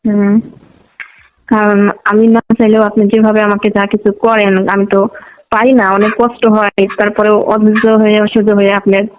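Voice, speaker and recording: Fast-talking Bangladeshi woman, muffled call audio. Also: medium pitch, level tone, clean tone. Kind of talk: casual intimate phone conversation.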